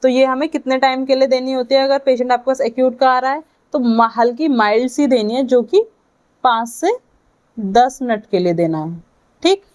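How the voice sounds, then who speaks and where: A woman with an Indian accent giving a lecture to students, speaking in short halting phrases and checking in with her listeners. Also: high voice, darkish tone. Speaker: physiotherapy lecturer.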